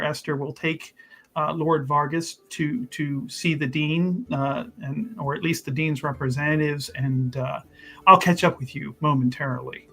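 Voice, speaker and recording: A man voicing a smooth-talking schemer in a tabletop game, improvising excuses in a hesitant, placating way. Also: a little high, pushed and bright, heavily acted. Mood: hurried scheming.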